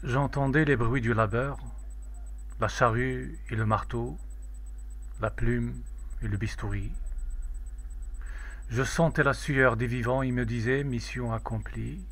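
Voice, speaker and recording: A man reciting a poem in a measured, declamatory, solemn way. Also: mid-range voice, steady tone, fairly bright tone. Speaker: poetry reader.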